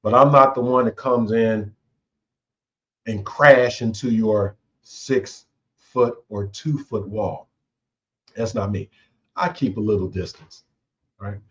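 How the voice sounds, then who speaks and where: Slow-talking man hosting a leadership chat. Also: fairly low pitch, fairly bright tone, lo-fi sound. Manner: conversational, repeats "right?" often. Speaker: host. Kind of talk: casual talk on leadership.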